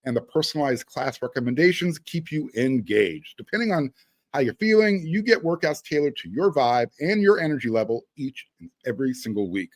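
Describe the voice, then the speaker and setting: A man's voice: mid-range voice, animated, a little fast, no room sound. A man hosting a sports podcast, talking in a conversational way with emphatic phrasing. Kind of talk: casual sports talk with read-out sponsor spots.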